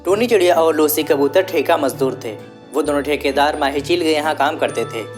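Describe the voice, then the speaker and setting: A low-pitched woman storyteller delivers scripted narration for a children's tale. Music plays clearly beneath her words. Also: a little fast, a little mellow.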